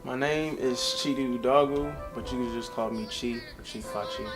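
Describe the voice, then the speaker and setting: A man's voice: mid-range voice, moderate pace, over loud music. A man, chatting casually as an interview guest, laid-back and slangy, swearing freely. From South Carolina, US. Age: early 20s. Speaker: interview guest, young entrepreneur.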